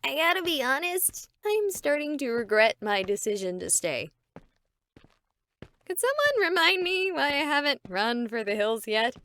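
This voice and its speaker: A woman's voice: sits high, big pitch swings, a little slow, a bit bright, exaggerated voice. A woman voicing a sarcastic, exasperated young female streamer in a horror video game, wisecracking and dramatic.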